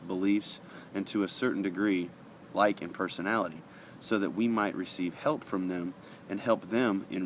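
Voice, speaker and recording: A man with a very low voice talks through a daily devotional in an earnest, conversational way, stopping often on fillers. He sounds a little sniffly from allergies. Faint traffic hums behind him. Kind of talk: casual devotional talk, reading passages aloud.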